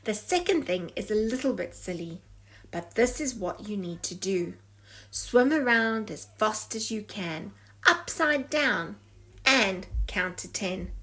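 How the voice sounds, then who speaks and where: A woman's voice: medium pitch, animated, takes it slowly, bright, forceful tone, exaggerated voice. A woman voicing a tiny, helpful, upbeat fish friend in a storybook, encouraging and reassuring. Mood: encouraging, confident.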